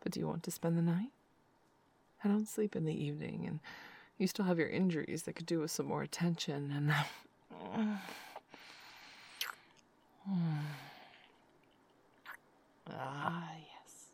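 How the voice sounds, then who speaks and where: A woman voicing a tender, possessive noblewoman lover in an intimate audio roleplay, talking in soft, slow whispers with quiet laughs. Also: high-pitched, big pitch swings, slight background noise.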